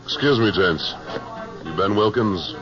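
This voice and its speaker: A man's voice: lowish voice, measured pace, over music, natural voice. An actor playing a tough, self-assured western cattleman with an American accent in a radio drama, terse and unflinching. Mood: cool, persuasive. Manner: terse, unflinching, plain-spoken. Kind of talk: 1950s radio western acting, first-person narration and dialogue. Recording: dull 1950s radio recording.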